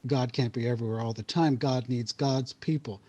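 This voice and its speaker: Man reading as a calm rabbi, gently instructive, measured, mid-pitched voice. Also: natural voice. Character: calm middle-aged male rabbi.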